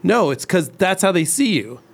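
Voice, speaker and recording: A man's voice: high-pitched, big pitch swings, normal speed, vocal fry, slightly rough. A man in his forties co-hosting a studio talk show, speaking in a reflective, fatherly way. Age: 40s. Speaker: co-host of detailing talk show. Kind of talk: casual studio chat.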